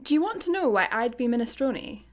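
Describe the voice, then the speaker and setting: A woman's voice: a little high, very animated, a little fast, slight background noise. A Scottish woman playing a laid-back, literal-minded space satellite employee in a sci-fi comedy radio play, dry and matter-of-fact. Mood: chatty.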